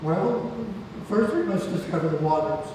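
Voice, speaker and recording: A man's voice: a little high, expressive, moderate pace, natural voice. A man at a play read-through acting a protective, earnest grandfather who poses as a golden idol. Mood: instructive. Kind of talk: stage play table read. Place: theatre room, recorded from far off. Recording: distant echoey hall, clear background noise.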